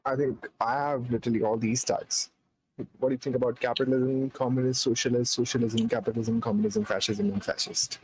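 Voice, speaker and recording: A man's voice: medium pitch, fairly even intonation, brisk, a little mellow, breathy edge, low-quality recording. A young man from India, a philosophy student who challenges and repeats his questions, mocking at times. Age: young adult. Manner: repetitive, challenging, mocking with laughs. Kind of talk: casual debate on video chat.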